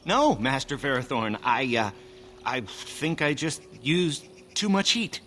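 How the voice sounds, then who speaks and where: An actor playing a meek, sorrowful young elven apprentice in a video game, hesitant and apologetic. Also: male voice, medium pitch, very animated, slow pace, bright, forceful tone, slight background noise, heavily acted. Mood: sheepish, sorrowful. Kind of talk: video game character dialogue.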